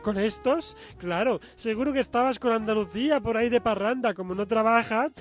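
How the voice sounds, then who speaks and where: Man playing a shrill, nagging, melodramatic wife. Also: fairly quick, soft background music, lightly acted. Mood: jealous, sarcastic. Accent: Spanish, from Spain. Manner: scolding, indignant, theatrical outbursts. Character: Catalonia personified as a nagging, melodramatic, snobbish wife. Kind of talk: comic radio soap opera acting.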